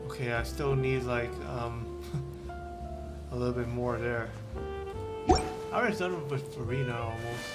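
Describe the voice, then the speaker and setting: Rambling gamer man over loud music. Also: mid-range voice, steady tone, unhurried, pauses often. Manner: rambling, with frequent restarts and fillers. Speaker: gaming streamer. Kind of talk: casual gameplay commentary. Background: loud game music.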